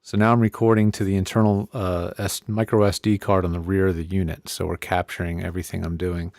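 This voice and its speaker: Low-voiced man giving a dry-sounding gear tutorial. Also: level tone, brisk, creaky, a little mellow, dry recording. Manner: conversational, thinking aloud while testing. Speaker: presenter of an audio gear tutorial. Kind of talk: casual hands-on product tutorial. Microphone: close studio podcast microphone.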